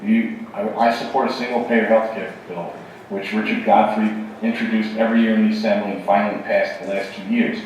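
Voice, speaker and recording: A man's voice: lowish voice, highs cut off, slight background noise. A man running for state assembly answers questions at a candidate forum in an earnest, emphatic way, sometimes restarting his sentences. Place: campus event hall. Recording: very echoey hall from far off.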